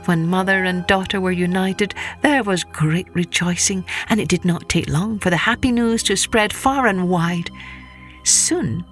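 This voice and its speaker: A woman storyteller reading a scripted children's folktale expressively. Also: lowish voice.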